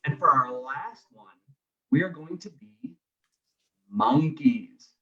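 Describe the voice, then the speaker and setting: A man's voice: fairly high pitch, lively intonation, slow, echoey room, distant mic, muffled recording. A man guesting as a children's musician, explaining things simply and encouragingly to young listeners. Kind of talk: children's singalong and friendly explaining. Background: acoustic guitar.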